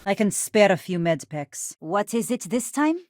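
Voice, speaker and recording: A brusque, weary doctor on a space station in a game. She is curt and sardonic, at an average pitch, in a dry studio sound. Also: very animated, unhurried, darkish tone, dry recording. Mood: businesslike. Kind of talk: video game dialogue.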